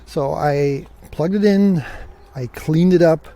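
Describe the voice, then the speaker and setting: A man's voice: a little high, slow pace, warm tone, slight background noise. A man hosting a solo podcast, telling stories in a casual, conversational way. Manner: conversational, acts out remembered dialogue. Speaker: podcast host.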